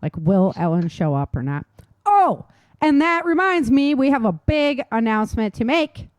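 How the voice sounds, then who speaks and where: A woman's voice: fairly high pitch, very animated, moderate pace, darkish tone, no room sound. A woman co-hosting a show in a casual, chatty way, with an informal and enthusiastic manner. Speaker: podcast co-host and novelist. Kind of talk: casual chat.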